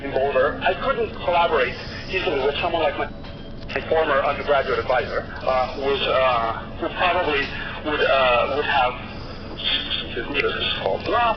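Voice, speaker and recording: A man's voice: mid-range voice, normal speed, highs cut off. Earnest man from Colombia, noisy recording. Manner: earnest, with frequent restarts and fillers. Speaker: conference speaker. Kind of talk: keynote talk.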